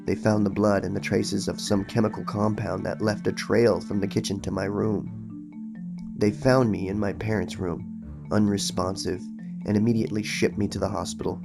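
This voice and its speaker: A man's voice: on the low side, flat delivery, normal speed, creaky, music in the background. A man reading a horror story aloud in a dramatic, suspenseful storytelling manner. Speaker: horror story narrator and channel host. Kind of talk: dramatic creepypasta reading, then casual recap.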